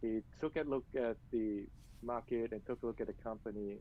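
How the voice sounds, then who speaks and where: Man, hesitant filler-heavy answers, muffled low-quality video call sound. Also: fairly even intonation, a little hiss or hum. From Malaysia. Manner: hesitant, many filler pauses. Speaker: game company director. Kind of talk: interview answers.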